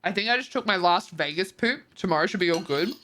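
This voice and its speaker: A woman's voice: fairly low pitch, animated, quick pace, a bit bright, a little hiss or hum. A tired, congested woman, chatting casually as a streamer and reading viewer messages, rambling with lots of ums and likes.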